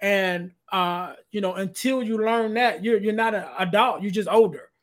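Podcast host guy, pretty high voice, lots of "you know", kinda preachy. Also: moderate pace, over a noisy crowd. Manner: many fillers, "you know what I mean", preachy. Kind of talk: casual interview chat.

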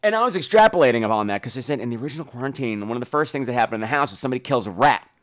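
A man hosting a podcast, giving casual, opinionated and profane film commentary in an animated way. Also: mid-range voice, rapid-fire. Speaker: podcast host.

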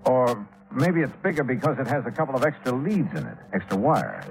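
An American actor playing a sharp, determined insurance investigator in a 1950s radio drama, probing and assured in a clipped manner. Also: male voice, medium pitch, very animated, a little fast, gravelly, poor audio. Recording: vintage 1950s radio broadcast recording.

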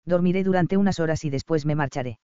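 A man's voice: fairly high pitch, fast, dark tone, clean tone, no echo, lightly acted. A man voicing a sick young woman who is wry and self-mocking, read in a dull, dry recording. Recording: slightly dull dry audio.

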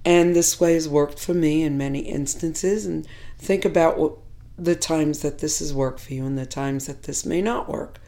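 Hoarse woman, low voice, mellow tone, measured teacher talk, middle-aged. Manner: measured, reflective, with pauses and fillers. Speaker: teacher educator.

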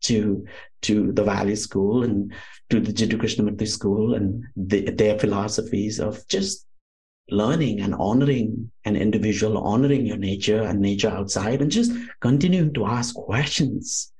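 A man's voice: fairly low pitch, moderate pace, mellow. A man from Nepal with a Nepali accent, talking conversationally as an interview guest, rambling and often saying "you know". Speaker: podcast guest, Ayurvedic doctor. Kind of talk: conversational personal storytelling.

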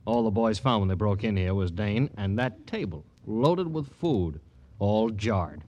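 A wry police doctor in an old radio mystery. The voice is on the low side, matter-of-fact and chatty in short phrases, on an old recording with faint noise. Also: male voice, expressive, measured pace, darkish tone, dull audio. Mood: matter-of-fact, musing. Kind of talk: old-time radio crime drama acting.

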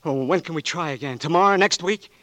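A man's voice: on the high side, fast talker, lightly acted. An actor playing a young marine who has just lost his sight, pleading with his doctor and then bitterly refusing help, in a 1940s radio drama. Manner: pleading, breaks into anguished shouting. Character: young man, newly blinded marine, desperate and bitter. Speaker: wounded marine patient. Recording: dry old radio broadcast.

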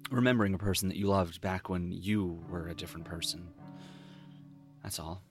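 An actor playing a weary, smitten, hard-boiled detective in a noir audio drama, speaking with an American accent in a wry, informal, halting way. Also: male voice, low-pitched. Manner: wry, informal, halting, rough-edged street talk. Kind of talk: noir audio drama, first-person narration and dialogue.

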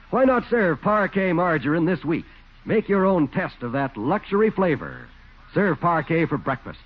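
Old-time american radio announcer guy, high voice, lively, slightly muffled. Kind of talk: 1940s radio advertising and credits announcing. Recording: muffled vintage 1940s radio broadcast.

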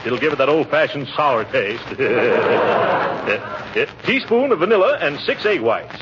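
An actor playing a pompous, blustering middle-aged American uncle who hems and haws, in 1940s radio comedy style. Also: male voice, medium pitch, very animated, measured pace. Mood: busy, confident. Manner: blustery, hemming and hawing. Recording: muffled, noisy old radio recording.

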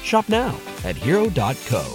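A man's voice: fairly high pitch, wildly swooping pitch, moderate pace. A man reading a commercial voice-over as an advertisement announcer. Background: music.

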